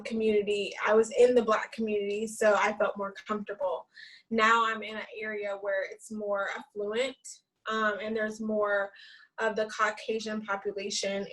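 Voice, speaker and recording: A woman's voice: medium pitch, a bit bright, recorded from a distance, poor audio. A woman who works as a therapist and yoga teacher, speaking candidly and from the heart on a discussion panel, repeating points for emphasis.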